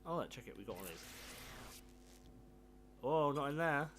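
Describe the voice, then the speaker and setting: A young man with a croaky, sore throat, casually commentating a video game, self-deprecating and swearing freely. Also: fairly high pitch, sing-song, moderate pace, a bit bright, slight background noise. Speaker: gameplay commentator. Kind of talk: casual let's play commentary. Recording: game music playing behind.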